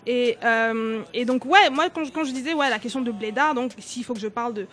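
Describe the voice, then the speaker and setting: A woman's voice: a little high, fairly quick, fairly bright tone. A woman from Cameroon speaking French with Cameroonian traces, talking animatedly in a casual panel discussion and sometimes switching accents on purpose. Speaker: podcast co-host.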